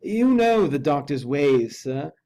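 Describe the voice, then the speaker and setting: A man voicing a frightened, dogged butler who speaks haltingly and deferentially in a hoarse, strained voice, as in an audiobook. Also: on the high side, big pitch swings, warm tone, exaggerated voice. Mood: anxious, halting. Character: frightened, dogged, servile old-fashioned butler.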